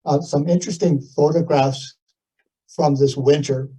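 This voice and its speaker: A man's voice: fairly high pitch, fairly even intonation, mellow, slightly rough. A man speaking hesitantly as he makes an informal request to a community meeting. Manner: hesitant, halting. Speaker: community historian. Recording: muffled, dull meeting audio.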